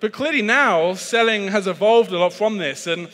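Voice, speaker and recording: A man's voice: high-pitched, normal speed, a bit bright. A British man giving a conference keynote on sales in a chatty, self-deprecating way, with frequent fillers and restarts. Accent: British English. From Britain. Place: large conference hall. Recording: reverberant conference hall.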